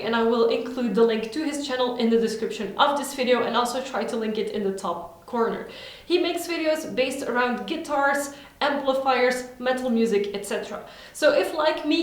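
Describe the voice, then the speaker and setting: Woman, native Dutch speaker, slightly high voice, upbeat, heavy room echo. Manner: upbeat, chatty, enthusiastic. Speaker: vlogger. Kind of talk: casual recommendations vlog. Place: home room. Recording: very echoey room.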